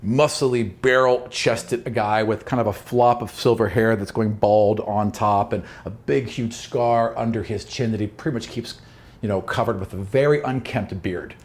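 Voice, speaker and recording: A man's voice: lowish voice, steady tone, moderate pace. A man narrating a tabletop roleplaying game as dungeon master, in a casual, conversational style.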